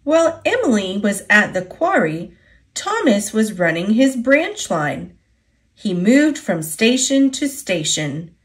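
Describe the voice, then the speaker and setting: An American woman reading children's storybooks aloud in an expressive, deliberate storytelling manner. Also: mid-range voice. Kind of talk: scripted read-aloud narration.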